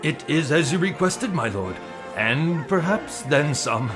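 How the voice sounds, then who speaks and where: An actor playing a cautious, deferential old viceroy with a formal, courtly manner in an audio drama. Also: male voice, on the high side, lively intonation, unhurried, over music. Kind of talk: full-cast audio drama acting.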